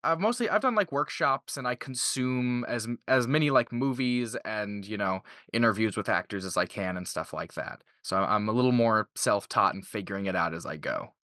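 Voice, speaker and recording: A man's voice: fairly low pitch, normal speed, hardly any pauses, pushed and bright, dry recording, clean studio sound. A man of twenty-two from Canada chatting casually as a voice actor in an interview.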